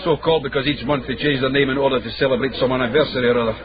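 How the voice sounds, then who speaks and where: A man's voice: medium pitch, fairly even intonation, very fast, crowd noise, natural voice. A man acting a canny Scottish street guide in a radio drama, dry and knowing. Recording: dull, noisy radio drama recording.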